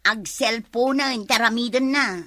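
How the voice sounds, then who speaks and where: A middle-aged woman with an Ilocano accent, acting in a comedy drama, loud and emotional as a wife who suspects her husband. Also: fairly high pitch, normal speed, dry recording.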